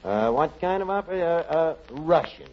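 An actor playing a wisecracking, scheming tavern manager, in a 1940s radio comedy, talking brash and slangy. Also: male voice, fairly high pitch, animated, normal speed, a little hiss or hum, natural voice. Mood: sly. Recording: lo-fi old radio broadcast.

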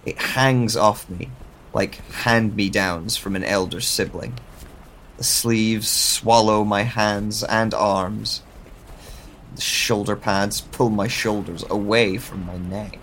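Slow, low-voiced amnesiac man, acted. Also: steady tone, a bit bright, a little hiss or hum. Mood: pensive. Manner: measured, pensive, halting. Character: confused amnesiac man waking alone, searching for answers. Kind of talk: audio drama first-person narration.

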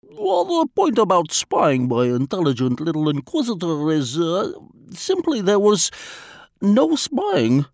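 A man's voice: high voice, big pitch swings, extremely slow, smooth, dry recording, clean studio sound, pitched above the performer's own voice, heavily acted. A man voicing a wily, self-mocking foreign spy who speaks formal English and drops his articles. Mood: smooth evasive.

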